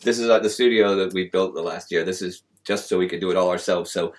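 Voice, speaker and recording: A man's voice: low voice, fairly even intonation, quick pace. A man from Texas with a Texan accent, teasing and joking on a panel in a small studio. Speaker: host. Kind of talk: banter. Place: small studio with a world map.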